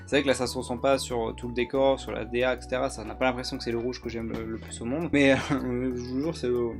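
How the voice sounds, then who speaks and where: A man giving casual, joking and crude gameplay commentary, rambling and often laughing. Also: mid-range voice. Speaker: gaming YouTuber. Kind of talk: casual let's-play commentary. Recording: game music playing under the voice. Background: game music.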